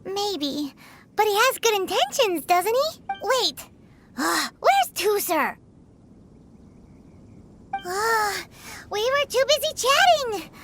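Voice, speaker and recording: An actor voicing a small childlike fairy companion in a video game, with a squeaky, chirpy voice. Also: big pitch swings, slow pace, long pauses, fairly bright tone, a little hiss or hum, exaggerated voice. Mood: curious, flustered. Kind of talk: animated video game voice acting.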